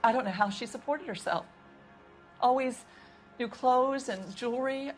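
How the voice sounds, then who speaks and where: A woman's voice: high voice, unhurried, soft background music. A woman playing a nervous housekeeper questioned by police in a video game, talking hesitantly and stammering. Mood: confiding. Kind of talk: video game interrogation scene.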